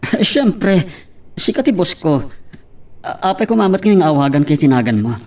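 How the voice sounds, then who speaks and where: A man's voice: a little high, very animated, normal speed, a little mellow. A man with an Ilocano accent acting a hesitant, lovesick young employee in an amateur audio drama. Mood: hesitant, flustered. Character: hesitant lovesick young male employee, unsure with his boss.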